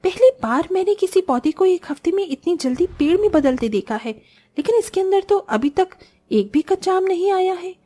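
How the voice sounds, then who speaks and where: Young orphan sister, voiced by a woman: very high pitch, earnest, expressive, cartoon fable. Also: normal speed. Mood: amazed. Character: poor young orphan sisters, earnest, kind, easily exasperated. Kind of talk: Hindi cartoon moral-story voice acting.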